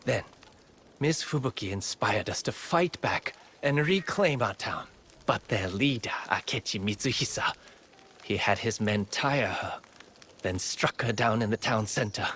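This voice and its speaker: A man's voice: fairly low pitch, lively intonation, measured pace, a bit bright, slight background noise. A man playing an eager, earnest young villager training as a fighter in a video game cutscene. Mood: earnest, resolute.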